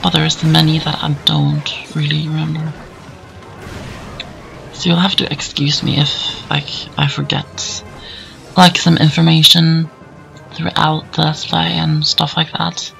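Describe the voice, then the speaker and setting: A hoarse man talks through a game in an easygoing, meandering way, padding his sentences with fillers. His voice sits a little high and bright, and has a heavy gravelly fry. Faint game music plays beneath him. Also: level tone, measured pace, rough recording. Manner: rambling, easygoing, many fillers. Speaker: let's play commentator. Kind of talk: casual gameplay commentary voice-over.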